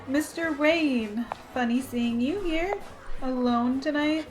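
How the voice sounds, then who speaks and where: Lady acting polite wry lady reporter, radio drama, high voice, faint music. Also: slow, low-quality recording. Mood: wry, teasing.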